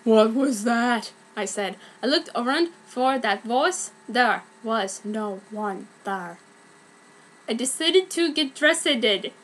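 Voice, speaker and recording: A woman's voice: a little high, expressive, slow pace, a bit bright. A young woman giving a theatrical, mock-earnest comedic reading of amateur fan fiction.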